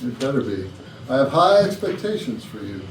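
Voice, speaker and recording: An actor playing a self-important, demanding company boss in a comedy play reading, with an authoritative speechifying manner. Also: male voice, mid-range voice, big pitch swings, normal speed, close to an everyday voice. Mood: stern. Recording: distant, echoey room with faint noise.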